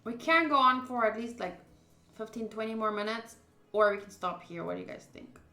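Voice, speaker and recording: A woman's voice: on the high side, brisk, sharp tone, soft background music. A young woman streamer reading a visual novel aloud and chatting informally and expressively with viewers. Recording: roomy echo.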